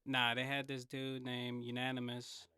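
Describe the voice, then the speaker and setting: A man's voice: medium pitch, monotone, normal speed, sharp tone, clear, resonant tone. A man from the San Francisco Bay Area telling stories as a podcast guest in casual, slangy talk with some swearing. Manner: slangy, laid-back phrasing, swears casually. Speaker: podcast guest, hip hop artist. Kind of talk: casual conversational storytelling.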